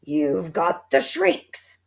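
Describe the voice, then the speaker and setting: A woman's voice: on the low side, expressive, moderate pace, gravelly, a little mellow. A woman doing a gloating, nasty old man. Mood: mock-solemn taunting. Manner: sneering, teasing.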